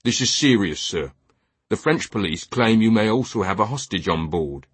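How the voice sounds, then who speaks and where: A man's voice: lowish voice, animated, moderate pace, breathy edge, no room sound. A man voicing a stern, unyielding English police captain in an audiobook, formal and firm.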